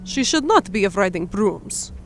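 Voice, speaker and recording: A woman's voice: mid-range voice, very animated, unhurried, a little hiss or hum. Woman playing an eager creature girl with broken grammar. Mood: chiding. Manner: broken, oddly phrased foreign-sounding grammar. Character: young female clawed, tailed creature, chiding and eager. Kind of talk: audiobook character acting.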